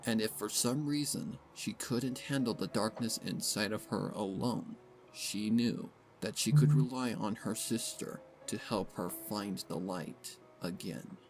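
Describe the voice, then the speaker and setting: A man's voice: lowish voice, unhurried, low-quality recording. A man reading a story as a dramatic narrator, in scripted prose. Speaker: fan fiction narrator. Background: music.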